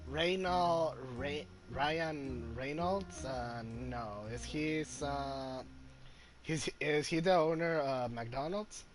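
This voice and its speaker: A male gaming streamer giving casual playthrough commentary, halting, with non-standard grammar. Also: fairly high pitch, faint music behind. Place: game commentary over game audio.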